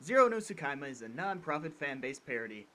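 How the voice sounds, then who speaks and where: A man playing a brisk, snarky announcer reading a scripted parody disclaimer. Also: on the high side, sing-song, bright, forceful tone. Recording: roomy, crowd noise behind.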